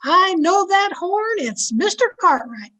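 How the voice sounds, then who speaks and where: A woman's voice: extremely high pitch, sing-song, slow pace, sharp tone, full character voice. A woman playing a nosy, frank young housemaid in an old-time radio comedy, with a girlish voice. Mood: dutiful.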